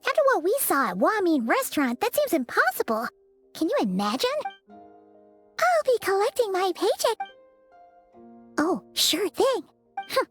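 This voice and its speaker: Tiny squeaky game fairy sidekick, super high, bouncy pitch, chatty and fretful, music behind. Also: female voice, unhurried, long pauses, full character voice. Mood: curious, chatty. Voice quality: squeaky, childlike. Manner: refers to self in third person, expressive. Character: small, childlike, excitable fairy companion, chatty and fretful. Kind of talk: animated video game character acting.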